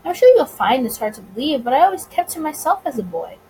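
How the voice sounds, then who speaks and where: A woman's voice: high-pitched, sing-song, fast talker, mellow, a little hiss or hum, poor audio. A woman voicing a suave, smug male bootlegger in a visual novel, courteous, wordy and self-assured. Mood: reflective. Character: suave, smug, teasing male gangster bootlegger of 1920s America.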